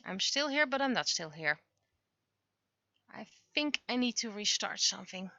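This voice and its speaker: A woman livestreamer chatting casually and haltingly, muttering to herself as she thinks aloud, on dull lo-fi audio. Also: medium pitch, sing-song, pushed and bright, dry recording. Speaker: livestreamer, webcomic artist.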